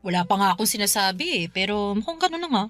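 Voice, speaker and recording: An actress playing an exasperated older sister in a Filipino radio drama, blunt and scolding with a caring streak. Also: female voice, mid-range voice, big pitch swings, quick pace, a bit bright, no room sound. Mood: needling. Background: music bed.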